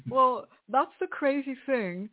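A woman from Greece, a guest poet in a relaxed interview, who speaks thoughtfully with many pauses and laughs often. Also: high voice. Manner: thoughtful, hesitant, laughs often between phrases. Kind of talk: conversational interview. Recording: tinny phone line.

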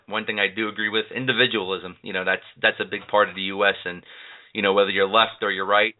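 A man hosting a chat show, talking casually and rambling with lots of fillers. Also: on the low side. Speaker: podcast host. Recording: tinny phone-line sound.

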